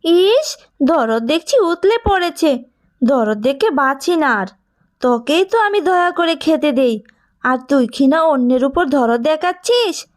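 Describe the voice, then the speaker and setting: A cruel cartoon aunt, high-pitched, harsh and sneering as she scolds, as a woman puts her on. Her voice sits well above a typical woman's. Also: lively intonation, unhurried. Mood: scolding.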